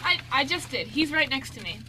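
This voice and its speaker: A woman's voice: high-pitched, big pitch swings, a little fast, pushed and bright, full character voice. A woman playing a bubbly, teasing, sweet young best friend in an amateur radio play, gushing playfully. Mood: bubbly, reassuring.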